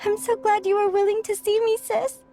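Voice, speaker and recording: A woman voicing a caring young woman in a video game, speaking softly and earnestly. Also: very high-pitched, little pitch movement, moderate pace, soft background music. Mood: tender.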